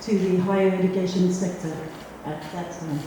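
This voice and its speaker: A woman's voice: on the low side, steady tone, moderate pace, background noise. A middle-aged woman from Finland introducing herself on a conference panel, chatty and self-deprecating with many fillers. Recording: echoey hall, distant mic.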